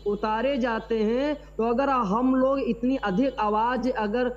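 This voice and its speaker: A man speaking as a religious leader on a TV debate panel, measured and halting, often pausing to restart. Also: extremely high pitch, steady tone, muffled recording. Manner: measured, hesitant, repeats "dekhiye". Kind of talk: debate commentary.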